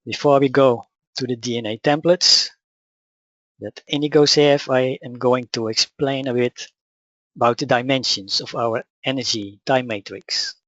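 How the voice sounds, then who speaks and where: A man with a Dutch accent, whose first language is Dutch, calmly telling his personal story and teaching in a measured, matter-of-fact way. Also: medium pitch, no echo, highs cut off. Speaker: spiritual teacher. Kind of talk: personal account and teaching talk.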